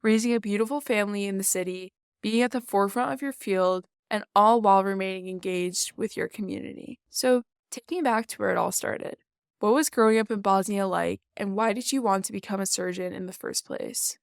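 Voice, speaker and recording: A woman's voice: medium pitch, brisk, creaky, clean tone, no echo, clean studio sound. A teenage girl from New York City, a student reporter asking a guest admiring, partly scripted interview questions. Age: teens.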